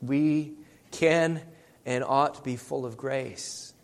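A man's voice: mid-range voice, slow pace. A man giving a conversational sermon. He asks his listeners many rhetorical questions. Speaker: preacher. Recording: long hall reverb.

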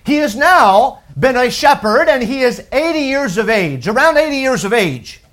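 A man around forty, raised in France with a French accent, preaching a sermon with lively delivery and stammered restarts. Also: very high-pitched, moderate pace.

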